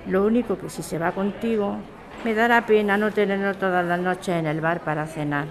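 A woman's voice: mid-range voice, steady tone, normal speed, natural voice. A woman with a Spanish accent from Spain playing a caring, questioning grown daughter in a radio drama. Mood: accepting. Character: caring, doubtful grown daughter who runs a bar. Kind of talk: radio serial acting. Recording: crowd chatter behind the voice.

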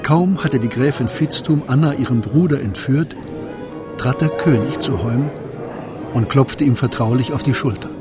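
A man's voice: medium pitch, normal speed, music in the background, natural voice. A man narrating a historical court tale in a radio drama, as a composed literary storyteller.

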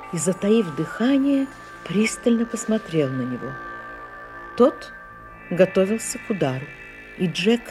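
A woman's voice: medium pitch, natural voice. A woman narrating an audio drama from a script, in a storytelling style.

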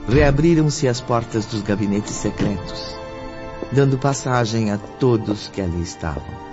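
A man narrating a radio drama as a solemn storyteller, with measured, literary delivery. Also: on the low side, expressive. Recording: dull audio with music bed.

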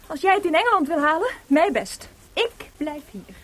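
High-voiced, sharp young wife. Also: female voice, moderate pace. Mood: nagging, worried. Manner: pointed, questioning, expressive. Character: composer's sharp, sceptical, teasing young wife. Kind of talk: Dutch radio drama acting.

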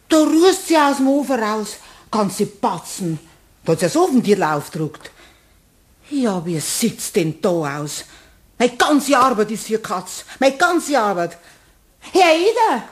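A hard-working Bavarian cleaning woman in a radio play. Her voice is fairly high, and she exclaims, grumbles and repeats herself in a flustered mutter. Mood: dismayed. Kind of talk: German radio play acting.

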